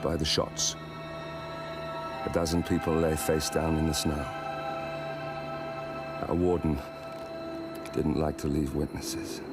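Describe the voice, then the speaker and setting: An actor playing a grave, haunted rebel sniper in a video game, speaking in a measured, solemn way. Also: male voice, extremely low pitch, level tone. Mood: somber, bitter. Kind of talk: scripted video game cutscene acting. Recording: loud background music.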